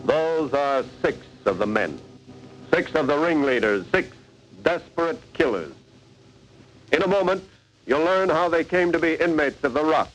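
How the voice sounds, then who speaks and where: A man playing a stern, authoritative narrator of a 1940s radio crime drama, with emphatic, deliberate phrasing. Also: fairly high pitch, expressive, fairly bright tone, faint music behind, rough recording. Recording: vintage 1940s radio broadcast.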